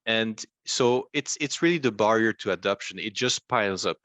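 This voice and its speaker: Low-voiced man, close and dry. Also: normal speed, a bit bright, no room sound. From Belgium. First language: not English. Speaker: interview guest, rank and rent website entrepreneur. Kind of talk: explanatory conversational talk.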